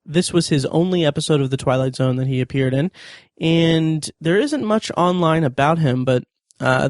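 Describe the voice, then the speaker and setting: A man hosting a review podcast, talking through notes and opinions in a somewhat halting, hesitant way. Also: medium pitch, darkish tone, no room sound, studio quality. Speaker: podcast host.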